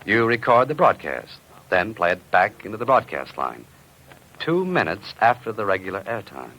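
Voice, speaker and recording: Low-voiced wry radio detective. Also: male voice, normal speed, full character voice. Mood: cool, shrewd. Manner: wry, deadpan, unruffled. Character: wry, cool-headed amateur sleuth narrating his own adventure. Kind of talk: 1940s-50s radio detective acting and narration. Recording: noisy vintage radio recording.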